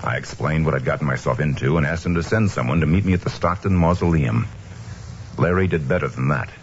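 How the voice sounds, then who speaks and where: An actor playing a steady, principled investigator in an old-time radio mystery, speaking in a measured, firm manner. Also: male voice, very deep voice. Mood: matter-of-fact. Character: steady, principled male investigator narrating his own case. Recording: dull noisy old radio recording.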